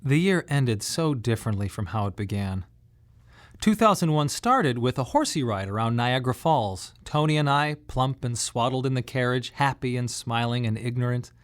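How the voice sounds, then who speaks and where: A man's voice: mid-range voice, animated, normal speed, no echo, natural voice. An actor playing a weary, bereaved father who tells his story in a reflective, wry radio drama monologue. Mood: wry, bitter.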